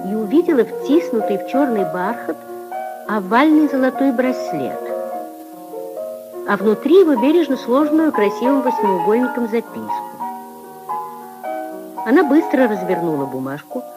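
A woman giving expressive, deliberate literary narration for a 1950s radio drama. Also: a little high.

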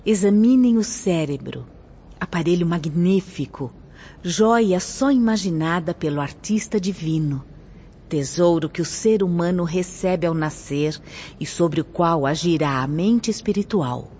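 Actress as a mellow, solemn spirit teacher. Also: female voice, on the low side, a little hiss or hum. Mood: solemn, reverent. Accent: Brazilian. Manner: reverent, declamatory, measured. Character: Rosália, solemn gentle female spirit teacher instructing pupils. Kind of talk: Brazilian radio drama acting. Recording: dull lo-fi audio under music.

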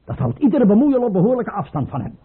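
An actor playing a paranoid, scornful tsar in a radio play, imperious and abrupt, with a husky, rough voice. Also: male voice, high-pitched, sing-song, fast, exaggerated voice. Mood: scornful, menacing. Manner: imperious, abrupt, mocking, swinging from mutter to outburst. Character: paranoid tyrant tsar, erratic, scornful, suspicious father. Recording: muffled, tinny old radio play recording.

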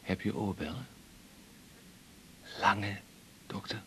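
An actor playing a touchy, pleading down-and-out patient who rambles insistently in a naturalistic radio drama. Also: male voice, lowish voice, takes it slowly, very noisy. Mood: eager, rambling. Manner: rambling, insistent, jumping between grievances.

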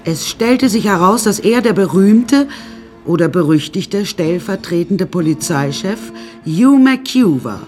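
An actress playing a self-possessed young woman who narrates her story in a dry, measured way in a radio drama. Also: medium pitch, expressive, darkish tone, faint music behind, close to an everyday voice. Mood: wry, composed.

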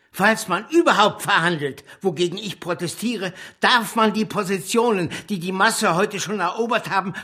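A woman's voice: mid-range voice, a bit bright. An actress in a radio drama playing a fiery, uncompromising revolutionary woman with a vehement, declamatory manner.

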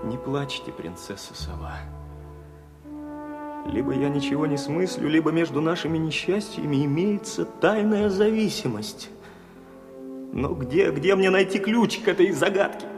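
An actor playing a young, impatient, excitable caliph in an audio fairy-tale drama, exclaiming theatrically. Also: male voice, a little high, measured pace, over music. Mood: gallant.

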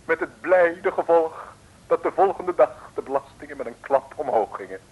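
A man's voice: on the high side, animated, moderate pace, muffled recording, slight background noise. A man narrating an old Dutch radio serial theatrically, in a mock-solemn, tongue-in-cheek way.

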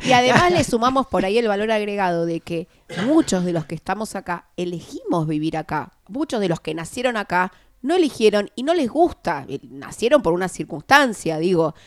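Una mujer con acento argentino, coconductora de radio, que razona en voz alta con muletillas. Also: female voice, medium pitch, lively intonation, fairly quick, hardly any pauses, no echo. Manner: reflective, thinks aloud with fillers. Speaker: radio co-host. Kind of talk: casual radio conversation.

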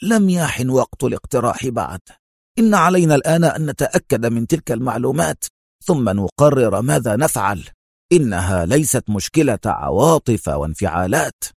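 A man's voice: mid-range voice, big pitch swings, a little fast, vocal fry, slightly rough, no room sound, clean studio sound, exaggerated voice. A man playing a stern, sceptical consul in a dramatic audio story, with a firm, emphatic and authoritative way of talking.

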